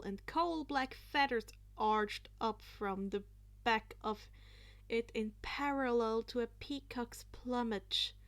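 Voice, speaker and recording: A woman reads a story aloud. Her voice is fairly high and her pace is slow, in expressive narration of fan fiction.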